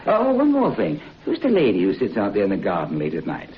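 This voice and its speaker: An actor playing a curious, fumbling hotel guest in an old radio drama. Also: male voice, lowish voice, wildly swooping pitch, a little fast, slight background noise. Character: curious, friendly male English hotel guest. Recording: dull old radio recording.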